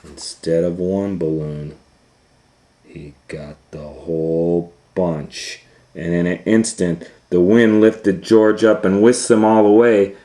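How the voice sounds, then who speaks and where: A man reading a story aloud to young children in a dramatic, loosely retold way, moving between hushed asides and excited swells. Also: sits low, slow pace. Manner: dramatic, hushing to near whispers and swelling with excitement. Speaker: storytime host and reader.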